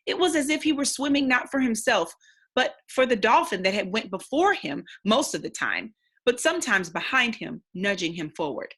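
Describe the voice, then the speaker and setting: Husky-voiced woman reading a story aloud. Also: on the high side, sing-song, normal speed, a bit bright. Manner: instructive, simple explanations to young listeners. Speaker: read-aloud teacher. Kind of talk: expressive storybook read-aloud with explanations.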